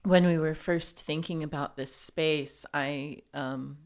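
A woman's voice: low-pitched, steady tone, slow pace. A woman who runs a theater, talking in an easygoing, chatty way in a casual conversation. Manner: chatty, easygoing, laughs often. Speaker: theater owner.

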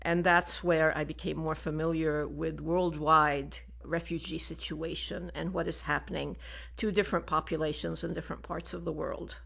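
An older woman who grew up in Lebanon, speaking as a guest in a radio studio interview and explaining things in a measured way. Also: low voice, gravelly, fairly bright tone. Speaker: refugee program director, guest.

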